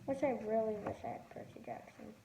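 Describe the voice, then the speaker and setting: A woman's voice: a little high, big pitch swings, brisk, creaky. A young girl reading a children's novel aloud with casual asides, stumbling over and repeating words as she goes. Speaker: host of a read-aloud channel. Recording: roomy echo, faint background noise.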